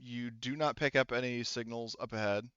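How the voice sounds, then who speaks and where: A man's voice: medium pitch, fairly even intonation, moderate pace, fairly bright tone, clean tone, no echo, lo-fi sound. A man acting as game master, narrating a tabletop roleplaying game in a casual, hesitant way. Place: indoors, online voice session. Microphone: headset.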